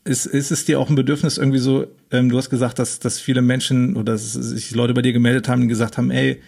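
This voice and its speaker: Husky, gravelly man. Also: medium pitch, flat delivery, fast talker, a little mellow. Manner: hesitant, many filler sounds and restarts. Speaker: podcast host. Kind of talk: casual interview chat.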